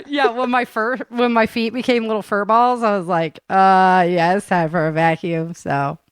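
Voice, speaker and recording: A woman's voice: medium pitch, steady tone, measured pace, clear, resonant tone, no echo. A woman hosting a podcast in casual chat, with an informal, rambling, giggly way of talking. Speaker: podcast host.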